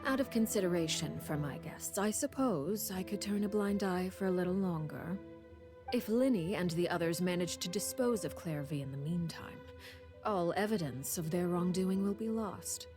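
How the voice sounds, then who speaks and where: An actress playing a cold, composed woman who runs a strict house, speaking in a measured, formal way with quiet menace. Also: fairly low pitch, expressive, lightly acted. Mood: menacing. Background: game music.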